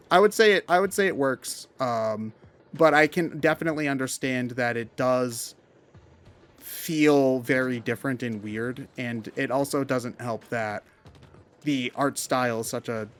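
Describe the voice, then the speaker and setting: Man, average pitch, casual gaming talk, rambling explanations, conversational delivery. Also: unhurried. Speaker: commentator on a gaming show. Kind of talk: casual discussion of video games.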